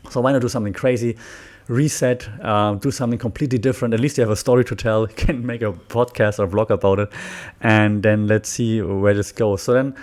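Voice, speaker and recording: A man's voice: lowish voice, fairly even intonation, brisk, a little mellow. German-accented man chatting on a podcast. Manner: rambling, frequent fillers and restarts, laughs often. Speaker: podcast host. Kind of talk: casual solo podcast talk.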